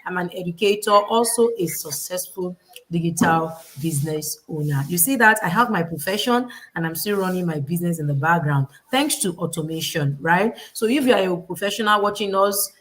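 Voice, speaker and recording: A woman's voice: on the low side, animated, fairly quick, fairly bright tone. A woman from Nigeria with a Nigerian accent, hosting a livestream panel in a preachy, motivational way that repeats key phrases and quotes scripture. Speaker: host.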